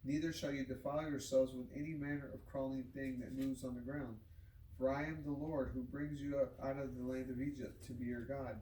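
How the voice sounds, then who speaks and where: A man reads scripture aloud in a measured, solemn manner with very little movement in pitch. He sounds set back from the microphone in an echoing room, and his delivery is that of formal recitation. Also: little pitch movement, mellow. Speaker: scripture reader. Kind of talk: scripted Bible reading aloud. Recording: roomy, distant microphone, noisy background.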